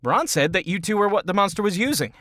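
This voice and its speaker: A man's voice: high voice, very animated, fast talker, a bit bright, no echo, clean studio sound. A man playing a nervous, naive adventurer in an audio drama, jumpy and plaintive in his delivery. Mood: bemused, relieved. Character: nervous, naive, easily startled male adventurer.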